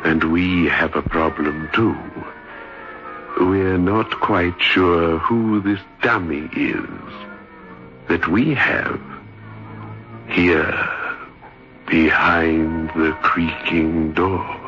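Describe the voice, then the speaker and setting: A sinister, wry horror host for vintage radio. He is deliberate and macabre, in a very deep voice. The recording is dull, with music behind him. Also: fairly even intonation. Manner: deliberate, macabre, mock-sympathetic. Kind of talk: old-time radio horror hosting. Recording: muffled old radio recording.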